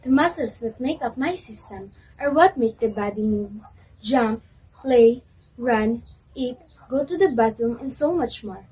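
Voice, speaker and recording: A woman's voice: a little high, unhurried, mellow, slightly rough, rough recording. A woman playing a proud muscular system that testifies and explains its importance in an amateur educational reader's theater play. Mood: boastful, explanatory.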